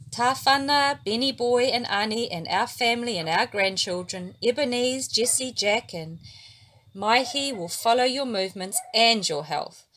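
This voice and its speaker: A woman voicing an excited child with news. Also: fairly high pitch, slight background noise, pitched above the performer's own voice. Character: cheerful child cousin bringing good news.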